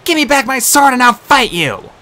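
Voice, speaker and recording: A man voicing a fierce, defiant teenage warrior girl who yells insults in a raised, higher voice. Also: expressive, slow pace, creaky, breathy edge, heavily acted. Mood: defiant yelling. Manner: yelling, defiant.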